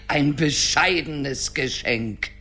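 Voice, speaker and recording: A woman's voice: low-pitched, sharp tone, breathy edge, heavily acted. An actress playing an imperious, scheming witch queen in a radio play, commanding and silkily menacing. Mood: sardonic. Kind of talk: German radio play acting. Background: music.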